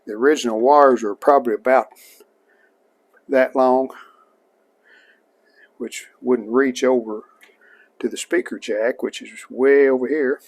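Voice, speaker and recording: Gravelly man narrating workshop restoration. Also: medium pitch, steady tone, measured pace, pauses often. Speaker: hobbyist restorer and host. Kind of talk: casual hands-on workshop narration.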